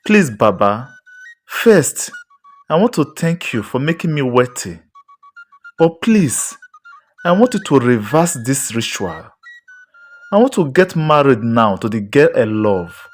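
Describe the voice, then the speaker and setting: Woman doing a lovestruck young man, very low. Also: sing-song, takes it slowly, long pauses, soft background music, heavily acted. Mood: pleading. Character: smooth-talking, lovestruck young man with sudden wealth. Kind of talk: moral folktale storytelling.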